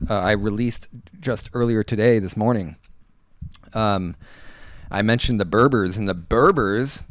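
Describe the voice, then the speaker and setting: A man hosting a casual live radio talk show, rambling through listener comments in a halting way and often repeating words and phrases. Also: medium pitch, no echo, clean studio sound.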